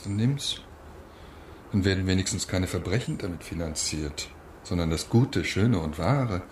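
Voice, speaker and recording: An actor playing a cynical, cold husband, a secret agent, speaking sardonically in a radio drama. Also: male voice, deep voice, animated, measured pace. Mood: sardonic. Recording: echoing room, faint background noise.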